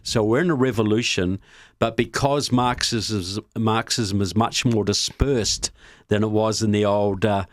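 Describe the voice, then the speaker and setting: A man's voice: on the low side, fairly even intonation, a little slow, no echo, clean studio sound. A man with a New Zealand accent, a guest on a podcast interview, explaining his views in an earnest, emphatic way.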